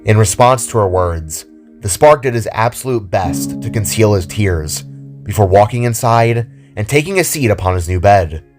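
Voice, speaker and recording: A man's voice: on the low side, animated, normal speed, darkish tone. A man giving scripted narration as the storyteller of an audio drama. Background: background music.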